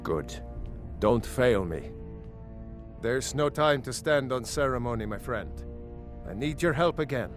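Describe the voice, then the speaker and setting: Man acting a measured, lordly fantasy ruler. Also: medium pitch, lively intonation, close to an everyday voice. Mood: decisive. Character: authoritative male jarl, gracious ruler of a fantasy city. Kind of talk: fantasy video game acting. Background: game music.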